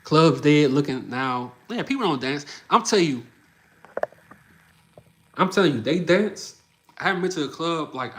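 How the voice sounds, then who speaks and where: A man in his mid-thirties hosts a livestream, talking in a loose, energetic, chatty way. His voice sits a little higher than most men's. He keeps calling his listeners "bro.". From Louisiana. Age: 30s. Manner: energetic, loose and chatty, often says "bro", laughs between thoughts. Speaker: livestream host. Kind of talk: casual livestream chat, reacting to comments.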